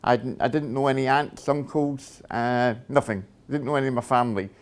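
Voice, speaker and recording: A man's voice: mid-range voice, fairly quick. A middle-aged man with a Scottish accent tells his own life story to an audience in a plain, direct way, with many "uh" fillers and "okay?" check-ins. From Scotland. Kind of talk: personal testimony talk.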